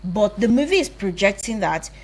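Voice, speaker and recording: A Nigerian woman co-hosting a casual radio chat, calmly making her points about a film at length. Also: mid-range voice, normal speed. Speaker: radio co-host. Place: radio studio.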